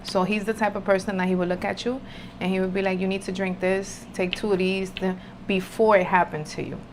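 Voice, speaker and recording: A woman's voice: mid-range voice, fairly even intonation, fairly quick. A woman tells personal stories as a guest. She is informal and emphatic, repeating phrases and mixing in Spanish, in a mid-range voice over faint ambient noise. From Dominican Republic, later New York City. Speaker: podcast guest, spiritual entrepreneur. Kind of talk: candid personal storytelling, casual.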